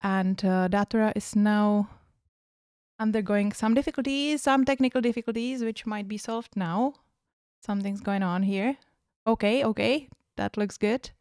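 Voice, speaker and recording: A woman's voice: medium pitch, steady tone, normal speed, darkish tone, smooth, no echo. Hesitant woman livestream host, dry sound. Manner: hesitant, many fillers. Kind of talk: casual livestream chat.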